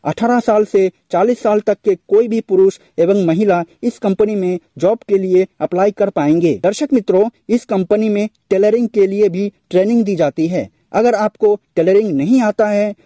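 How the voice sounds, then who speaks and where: A man with a high-pitched but very dark, mellow voice narrates a job explainer in speech, calling out to his viewers over and over. The voice-over is recorded close to the mic in a dry, dead space. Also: moderate pace, few pauses, no room sound. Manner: repeatedly addresses viewers directly. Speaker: job information channel host.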